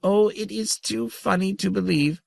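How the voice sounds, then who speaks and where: A man's voice: high voice, normal speed, creaky, dry recording, voice pushed up. A man voicing a tiny, ancient, giggling female creature who teases and chitters playfully. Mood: gleeful. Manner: tinkling, chittering, teasing.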